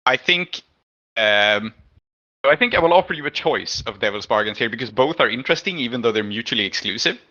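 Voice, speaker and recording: Bright-voiced man improvising as game master. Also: mid-range voice, animated, quick pace, highs cut off. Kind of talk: improvised tabletop game narration.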